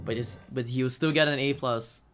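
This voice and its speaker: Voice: mid-range voice, fairly even intonation, a little fast, noisy background. A speaker giving casual, off-the-cuff commentary on a video game speedrun. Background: faint video game music.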